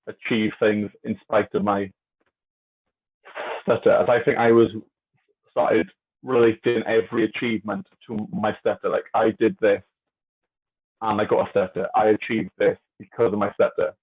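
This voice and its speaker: Male guest with a stutter: low, husky, flat delivery over a thin, phone-like line. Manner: earnest, halting, open. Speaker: interview guest. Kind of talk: reflective casual talk.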